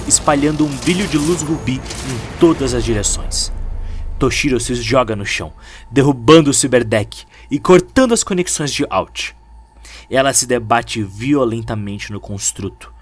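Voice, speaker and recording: Mid-pitched man narrating over music. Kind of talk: dramatic scripted narration of a cyberpunk story. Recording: music behind the voice.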